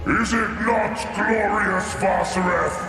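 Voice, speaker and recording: A man's voice: sits high, fairly even intonation, takes it slowly, vocal fry, exaggerated voice. A man playing a gloating, bloodthirsty demon lord in an audio drama, grandiose and taunting. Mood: exultant, gloating. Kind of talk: theatrical audio drama acting. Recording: cavernous echo, long reverb, faint music.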